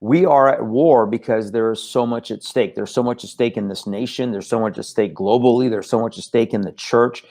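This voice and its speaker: An Iran-born man speaks as a talk show guest, his voice sitting at an ordinary middle pitch. He talks earnestly and steadily builds his points, though he often trips into stammered repeats and restarts. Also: brisk, hardly any pauses, a little mellow. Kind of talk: casual talk show conversation.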